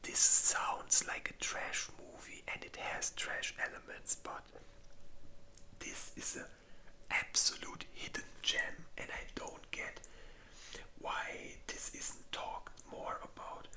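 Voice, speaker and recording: This is an ASMR creator speaking entirely in a breathy whisper with a very bright, airy edge. The delivery is informal and rambling, like a casual chat, with loose, unpolished phrasing. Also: slow pace.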